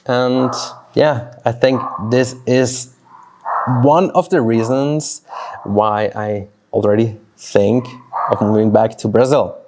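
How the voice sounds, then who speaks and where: A man in his thirties from Switzerland, a native Swiss German speaker, thinking aloud in a personal vlog with pauses and restarts. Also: mid-range voice, steady tone, background noise. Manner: reflective, talks slowly with pauses and restarts. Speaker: fitness coach. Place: outdoors near a road.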